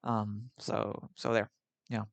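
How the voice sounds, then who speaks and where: A man from Switzerland who sounds partly American, hosting a casual call-in debate, talking haltingly with many repeated words and frequent laughs. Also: lowish voice, completely flat delivery, vocal fry, no room sound. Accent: American-sounding with slight European tinge.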